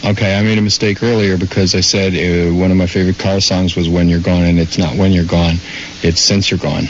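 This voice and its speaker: A man's voice: deep voice, level tone, brisk, a crowd in the background. A male musician guest answering callers on a radio show, friendly and hesitant. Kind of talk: radio call-in interview. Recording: dull lo-fi radio broadcast with noise.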